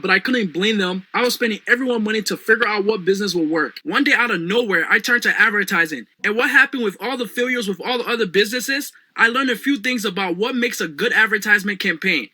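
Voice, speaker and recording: A young man with a Liberian accent giving an energetic motivational sales talk as a business coach, often calling out to "guys". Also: no room sound. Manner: pitchy, repeats "guys" and "right now".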